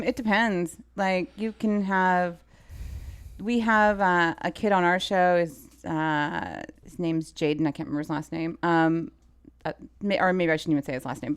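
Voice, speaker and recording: Female interview guest, lowish smooth voice, informal anecdotal chat peppered with "like". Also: a little slow. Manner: animated storyteller, quoting dialogue, frequent "like". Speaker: interview guest, film assistant director.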